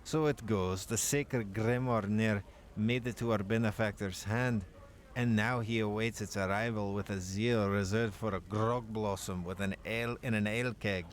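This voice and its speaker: A man voicing a gruff, bloodthirsty male dwarf warrior in a put-on Scottish accent, telling tales in an archaic brogue for a game. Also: on the low side, fairly even intonation, natural voice. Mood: boastful. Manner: slow, boastful storytelling. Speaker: player reading the dialogue.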